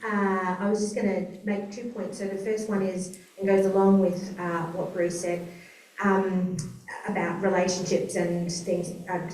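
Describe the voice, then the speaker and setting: A woman's voice: mid-range voice, little pitch movement, measured pace, a little mellow, slight background noise. A woman from New Zealand with an Australian accent, talking chattily on a conference panel with many fillers. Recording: roomy conference hall, mic far off.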